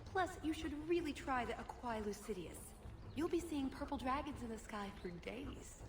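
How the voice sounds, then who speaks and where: High-voiced, playful huntress. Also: female voice, animated, normal speed, reverberant, big-room sound. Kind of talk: video game voice acting.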